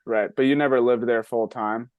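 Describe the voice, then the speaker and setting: A man's voice: mid-range voice, steady tone, normal speed, no room sound, narrow, tinny audio. A middle-aged man hosting an interview, asking friendly questions with many false starts. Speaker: podcast host. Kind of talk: casual interview.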